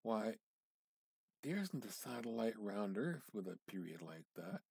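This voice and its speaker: A man giving an amateur dramatic reading of literary fiction, theatrical and halting, with pauses and emphatic repetition. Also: fairly low pitch, very animated, gravelly, darkish tone, slightly rough, dry recording, clean studio sound. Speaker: amateur reader.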